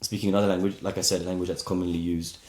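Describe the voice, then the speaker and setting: A man's voice: sits low, flat delivery, rapid-fire, darkish tone, reverberant. A man guest on a podcast, chatting casually and explaining ideas with examples, often restarting and repeating words. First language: Polish speaker of English. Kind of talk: casual interview conversation.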